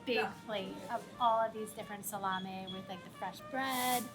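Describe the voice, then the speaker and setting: A woman's voice: medium pitch, steady tone, moderate pace, over music. A woman guest casually explaining customs, eager and explanatory.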